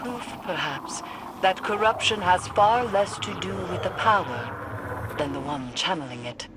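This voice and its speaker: A woman's voice: fairly low pitch, lively intonation, a little slow. A woman playing a grave, cryptic female mystic who guides warriors in a game, speaking formally and theatrically. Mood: ominous. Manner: solemn, formal, ominous. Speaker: mission guide. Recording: noisy game sound effects behind.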